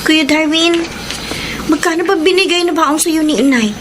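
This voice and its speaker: A woman's voice: high voice, fairly even intonation, normal speed, loud music behind the voice, natural voice. A woman acting a whiny schoolgirl daughter in a radio drama, with a Filipino accent. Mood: complaining.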